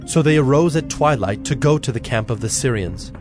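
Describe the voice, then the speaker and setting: Measured male scripture narrator. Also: medium pitch, lively intonation. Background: soft background music.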